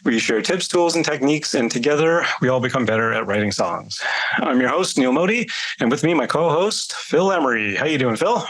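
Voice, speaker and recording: Rambling Toronto-accented podcast host. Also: male voice, mid-range voice, animated, a little fast, a bit bright, low-quality recording. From Canada. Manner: rambling, many fillers and restarts. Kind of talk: casual chat.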